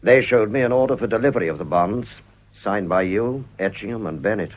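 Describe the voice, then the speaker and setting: A scrupulously honest British company director in a radio play. His voice is low, with a grave, firm and deliberate delivery. The recording sounds muffled and old. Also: lively intonation. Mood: accusing. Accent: British English. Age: middle-aged. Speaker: actor.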